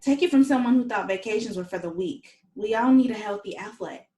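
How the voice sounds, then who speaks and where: A woman's voice: medium pitch, fairly quick, dark tone, natural voice. A woman reading an upbeat wellness-minded professional in her thirties in a comedy script table read. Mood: upbeat, persuasive. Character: Heather, upbeat thirty-six-year-old ex-lawyer turned wellness owner. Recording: echoey room.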